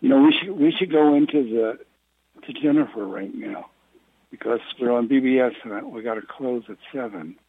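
A man's voice: medium pitch, moderate pace. A man hosting a conference call casually and off the cuff over the telephone. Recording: narrow phone line, faint noise, clicks.

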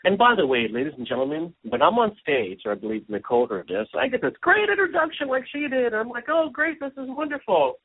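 A chatty, self-deprecating man in his forties, from Hawaii, talking as a guest from his home. His voice sits fairly high and swings lively up and down. It comes through husky and thin, like a phone line. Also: fast, hardly any pauses. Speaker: business strategist guest. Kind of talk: casual talk show interview. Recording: phone-like audio.

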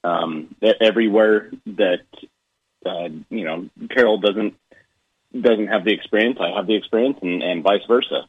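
Low, husky-voiced man, hesitant guest. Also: moderate pace, dry recording. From Utah. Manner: hesitant, many fillers. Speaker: guest, recruiting company director. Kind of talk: radio interview. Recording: dull, lo-fi radio audio.

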